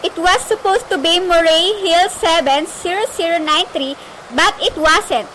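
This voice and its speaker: A woman's voice: very high voice, a little slow, bright, forceful tone, full character voice. A woman playing a frantic, imperious telephone caller in an amateur suspense radio play, melodramatic and insistent. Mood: anxious, urgent. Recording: noisy amateur recording with faint hiss.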